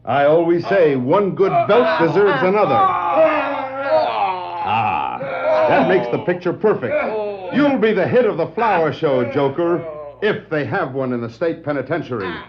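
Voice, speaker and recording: An actor playing a resourceful, steady masked hero with an earnest delivery, in 1960s radio-play style. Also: male voice, on the high side, measured pace, over a noisy crowd. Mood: triumphant. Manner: earnest, declarative heroic delivery. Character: steady, resourceful adult male masked crime fighter. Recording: muffled, noisy 1960s vinyl record.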